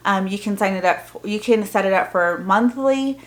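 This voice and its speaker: Female homeschool-parent vlogger, average pitch, casual show-and-tell delivery with plain explanations. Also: normal speed. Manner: informal, explanatory. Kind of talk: casual unboxing show-and-tell, reading printed items aloud.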